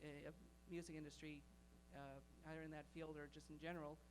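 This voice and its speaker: A man's voice: fairly high pitch, level tone, fairly quick, a little hiss or hum, poor audio. A man from the audience asking a long, hesitant question full of fillers.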